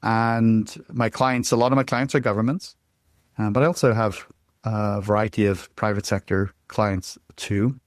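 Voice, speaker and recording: A man's voice: fairly low pitch, fairly even intonation, moderate pace, dry recording, studio quality. A middle-aged man from Ireland with an Irish accent, giving a rambling interview answer with frequent restarts and fillers. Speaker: guest.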